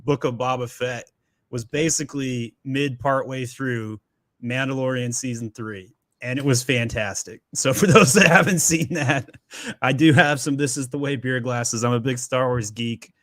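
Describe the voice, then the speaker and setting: A man's voice: mid-range voice, moderate pace, fairly bright tone, no echo, studio quality. A man hosting a live Q&A, chatty and jokey, who goes off on tangents and laughs easily. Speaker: host.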